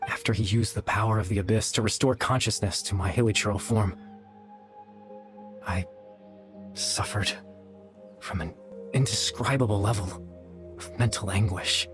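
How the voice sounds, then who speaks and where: An actor playing a gentle, weary young man in a video game, speaking in a soft, measured, wistful way. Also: fairly low pitch, over music, close to an everyday voice. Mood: wistful, tender. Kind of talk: dramatic video game dialogue.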